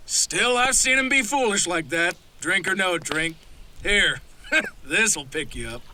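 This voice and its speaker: An actor playing a hearty, gruff, folksy hunter in the wilderness, in an audio horror drama. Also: male voice, high voice, animated, slow pace, bright, forceful tone, a little hiss or hum, full character voice. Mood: gruff, dismissive. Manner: folksy, dismissive, breaks into song.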